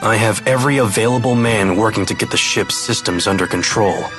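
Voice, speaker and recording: An actor playing a cold, proud young military aide in a video game, speaking in a clipped, formal way. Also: male voice, fairly low pitch, a little fast, over music, natural voice. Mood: aloof. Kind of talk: dramatic game voice acting.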